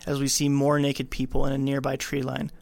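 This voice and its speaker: A man's voice: mid-range voice, completely flat delivery, brisk, vocal fry. A man co-hosting a film podcast, moving between narrated scene readings and casual discussion. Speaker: podcast co-host. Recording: faint background room noise.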